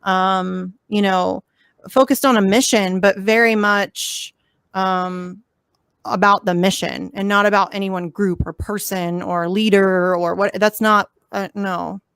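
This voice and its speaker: A woman hosts an activist livestream over a video call, talking in an emphatic, filler-packed rush. Her voice is going hoarse and keeps cracking. The sound is dry and close, with no room around it. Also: medium pitch, fairly even intonation, a little slow, a bit bright, dry recording. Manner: emphatic, filler-heavy, passionate. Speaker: vigil livestream host. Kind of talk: casual livestream chat.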